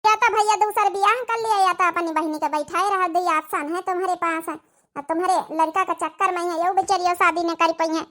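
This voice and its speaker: A woman's voice: extremely high pitch, steady tone, a little fast, bright, forceful tone. A woman voicing a practical village sister-in-law in a cartoon, giving persuasive advice in an Awadhi accent. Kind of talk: dramatic cartoon dialogue in dialect.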